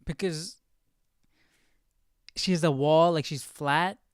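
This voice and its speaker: A man hosting a casual Bible study livestream, thinking aloud in an informal, halting way. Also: fairly high pitch, clear, resonant tone, no room sound, studio quality. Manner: informal, halting, muses to himself.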